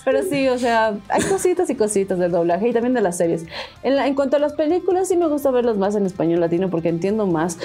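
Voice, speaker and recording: A young woman from Bolivia co-hosting a casual group chat, chatty and expressive. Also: fairly high pitch, quick pace, faint music behind. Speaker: podcast co-host.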